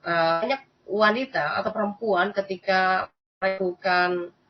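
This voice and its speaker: A woman's voice: medium pitch, a little slow, faint music behind. A woman host giving casual spoken commentary, chatty and repetitive, often turning to address her listeners. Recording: dull lo-fi sound.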